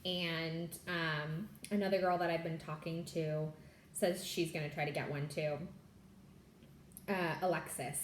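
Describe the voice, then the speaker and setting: A woman's voice: low-pitched, steady tone, measured pace, slight background noise. Bubbly chatty woman in an echoey room. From California. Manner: bubbly, chatty, says "like" and "y'all" a lot. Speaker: Flosstube host. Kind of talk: casual chat to camera.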